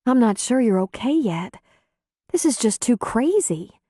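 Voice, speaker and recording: Audiobook role: female narrator as caring midwife; soft mellow tone, level-headed, gently firm, dry studio sound. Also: on the high side, big pitch swings, unhurried, no echo, pitched above the performer's own voice. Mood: concerned, firm. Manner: gentle, concerned, earnest. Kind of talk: audiobook character dialogue.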